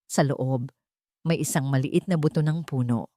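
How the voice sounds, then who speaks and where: Female story narrator, deep register, measured dramatic delivery, scripted romance, very dry sound. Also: dark tone, no room sound.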